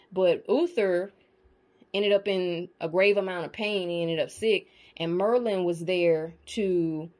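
A woman in a casual monologue to her viewers, emphatic and profane, often checking in with the audience. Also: on the low side. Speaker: spiritual vlogger.

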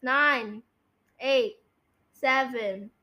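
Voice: high-pitched, extremely slow, pushed and bright. A podcast host chatting casually and bossily while directing a guessing game.

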